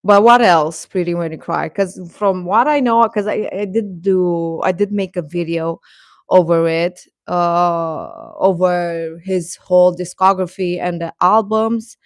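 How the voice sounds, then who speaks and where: A woman hosting a casual, opinionated live chat, speaking haltingly and defensively with repeated words. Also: fairly low pitch, no echo, studio quality. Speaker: livestream host and commentator.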